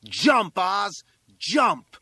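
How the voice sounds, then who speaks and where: A man voicing an excited, defiant young boy in an audiobook story, screaming wildly. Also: sits high, extremely slow, slightly rough, no room sound, pitched above the performer's own voice, exaggerated voice. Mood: urging.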